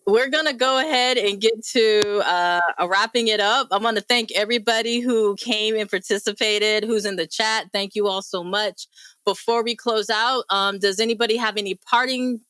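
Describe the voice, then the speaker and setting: Bright-voiced woman hosting a casual talk. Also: medium pitch, smooth, no echo. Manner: effusive, appreciative. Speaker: host of a discussion show.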